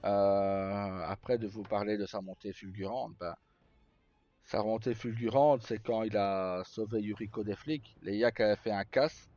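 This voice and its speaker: A man live streaming, casually telling roleplay stories in a rambling, halting way with pauses mid-sentence. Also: fairly low pitch, fairly even intonation, dark tone. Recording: slightly muffled, roomy, faint music. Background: background music.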